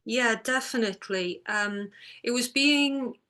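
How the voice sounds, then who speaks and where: A woman's voice: fairly high pitch, slow pace, bright, forceful tone. A woman from Ireland, an author answering interview questions thoughtfully and with some hesitation. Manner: thoughtful, hesitant, with many ums. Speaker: author and guest.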